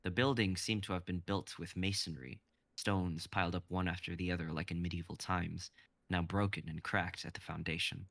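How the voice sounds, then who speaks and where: A man narrating a script and its stage directions in an even, descriptive way. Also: low voice, moderate pace, vocal fry, no echo. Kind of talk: reading a script aloud.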